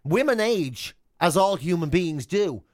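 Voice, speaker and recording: Irish male radio host, voice a bit high, comic and chatty, very dry studio mic. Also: lively intonation, unhurried, dry recording. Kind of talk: casual comic radio banter. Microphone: close broadcast microphone.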